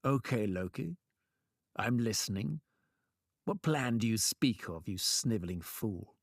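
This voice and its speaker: Storybook thunder god: blustering, hot-headed, booming delivery, slow and weighty with big swoops. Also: male voice, lively intonation, gravelly, no echo, clean studio sound, full character voice. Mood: gruff, indignant. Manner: booming, forceful, indignant.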